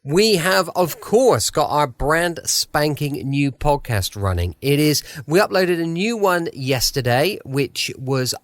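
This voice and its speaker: British man hosting, lively and close. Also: a little high, no echo. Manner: chatty, enthusiastic. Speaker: podcast host. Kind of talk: casual podcast introduction. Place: at home.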